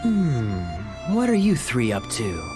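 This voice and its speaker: A man voicing a suave, teasing young knight in an anime game, with a smooth, sly, amused manner. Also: mid-range voice, wildly swooping pitch, takes it slowly. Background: game music.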